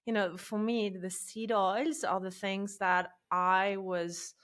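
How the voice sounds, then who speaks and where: A woman's voice: medium pitch, steady tone, takes it slowly, a bit bright. Woman hosting a podcast, mid voice, chatty and upbeat. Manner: enthusiastic, chatty. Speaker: podcast host. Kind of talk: podcast interview.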